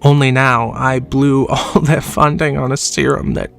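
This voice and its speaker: A man's voice: mid-range voice, level tone, measured pace, gravelly. An actor playing a sickly, dying man, bitter and self-loathing, who laughs wryly and drops to whispers, in a horror audio drama. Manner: wry, mocking laughs, sinking to whispers. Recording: soft music underneath.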